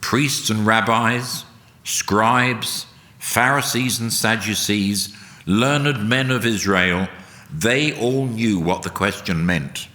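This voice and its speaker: A man reading a solemn, dramatic narration in a deliberate, emphatic oratorical way. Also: fairly low pitch, pushed and bright. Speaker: reader at a church service. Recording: long hall reverb.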